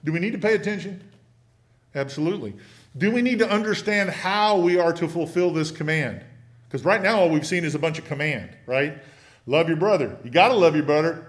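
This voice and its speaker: A man preaching a sermon in a church, folksy and conversational, slowing down to read scripture with emphasis. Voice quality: big booming voice. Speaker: preacher teaching a church lesson. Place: church sanctuary.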